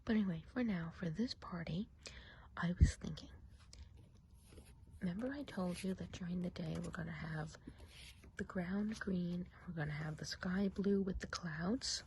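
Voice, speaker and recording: A woman voicing a chatty woodland witch in an ASMR roleplay, whispering softly and breathily in a gossipy, confiding way. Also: fairly low pitch, moderate pace, a little hiss or hum, lightly acted. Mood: chatty, enthusiastic. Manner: gossipy, confiding, hesitant casual phrasing. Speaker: ASMR performer.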